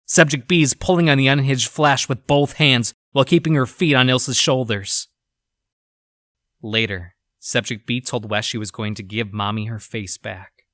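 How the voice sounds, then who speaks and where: A man playing an unsettled 1960s researcher who records grim experiments in a measured, clinical journal for an audio drama. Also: mid-range voice, fairly even intonation, fairly bright tone, no echo, studio quality, natural voice.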